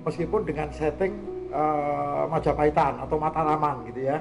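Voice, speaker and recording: A man speaking as a guest in a conversational discussion, explaining things with frequent hesitant pauses. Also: fairly high pitch, steady tone, music in the background. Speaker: guest speaker on cartoon art.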